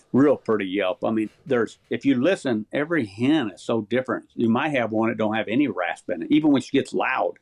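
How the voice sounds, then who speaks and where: A man's voice: fairly low pitch, gravelly, no room sound. Easygoing man talking turkey calls. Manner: easygoing, plainspoken, chuckles often. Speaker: champion turkey caller, guest. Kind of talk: casual podcast chat.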